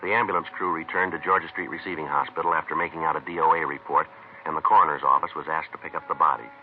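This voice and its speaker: A man's voice: a bass voice, racing, faint music behind. An American man playing a stoic, clipped police detective who narrates his case matter-of-factly in a 1950s radio crime drama. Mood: flat, factual. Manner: deadpan, terse, matter-of-fact. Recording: muffled, noisy old radio recording.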